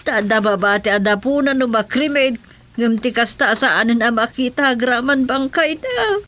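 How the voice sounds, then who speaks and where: A woman's voice: a little high, expressive, moderate pace, background noise, natural voice. A woman with an Ilocano accent playing a worried older wife and mother in a radio drama, plaintive and sorrowful. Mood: worried grief.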